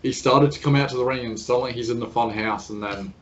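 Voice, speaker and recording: An Australian man hosts the interview, chatty and quick with his opinions. His voice sits at a middle pitch. The audio has a dull, lo-fi quality. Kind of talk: casual interview chat.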